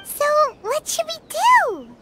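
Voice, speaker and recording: A woman voicing a small, childlike, excitable creature in a video game, squeaky and exclamatory, with music behind her. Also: slow, heavy background noise, full character voice. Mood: puzzled. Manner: exclamatory, questioning. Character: small childlike floating companion creature, excitable, easily baffled.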